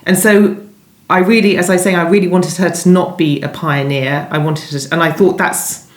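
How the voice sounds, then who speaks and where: A low-pitched woman speaks in a roomy, echoing space. She is an author giving conversational interview answers, discursive and often reworking a thought as she talks it through. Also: steady tone, moderate pace. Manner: discursive, thinking aloud, rephrasing as she goes. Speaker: novelist being interviewed about her book. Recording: roomy, echoing indoor sound.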